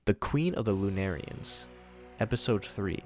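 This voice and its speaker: A man's voice: lowish voice, animated, a little slow, faint music behind. A man hosting a video recap, narrating scripted commentary on horror episodes.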